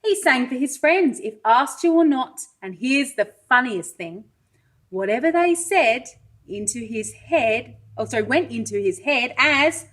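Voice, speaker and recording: A woman with a fairly high voice reads a picture book to small children. She takes it very slowly, in an expressive, sing-song way, and puts questions to her listeners as a pre-school teacher would. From Wales. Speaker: pre-school teacher reading a storybook aloud. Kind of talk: children's read-aloud with asides to young listeners.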